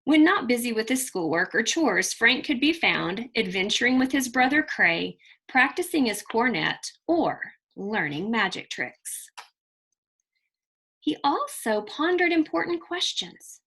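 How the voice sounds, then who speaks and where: A woman teacher reading a picture book aloud to children, expressive and deliberate, pausing to put questions to her young listeners. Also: a little high, breathy edge. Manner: deliberate, emphatic delivery addressed to children.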